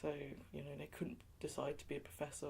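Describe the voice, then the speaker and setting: A woman's voice: deep voice, completely flat delivery, fast, gravelly, darkish tone, slight background noise. A woman from England being interviewed, talking in a chatty way with lots of "you know" and light laughs. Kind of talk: oral history interview.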